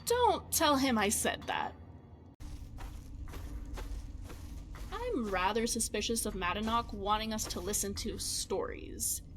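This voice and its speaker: Woman voicing a high, animated young companion. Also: moderate pace. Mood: suspicious. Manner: expressive, wry, wordy. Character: excitable, quirky young female adventuring companion, fretful and chatty. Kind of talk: fantasy game companion dialogue.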